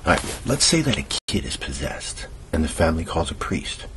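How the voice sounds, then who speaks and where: A man's voice: sing-song, normal speed. A man acting as a dry, earnest conspiracy-minded cousin in an ASMR audio drama, speaking in a sardonic, colloquial, explanatory way. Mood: earnest, musing. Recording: noisy low-quality amateur recording.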